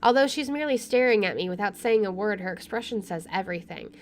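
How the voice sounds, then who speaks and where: Female let's player, mid-pitched, expressive read-aloud narration, slight background noise. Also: fast talker. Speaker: let's play streamer.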